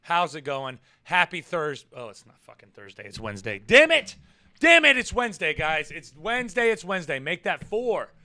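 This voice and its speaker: A man chats his way through a livestream while his voice is hoarse and worn from yelling. His tone stays bright and smooth underneath, sitting a little high. He rambles, swears casually, and jumps into loud excited bursts. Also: moderate pace. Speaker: livestream show host. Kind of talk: casual livestream chat with viewers.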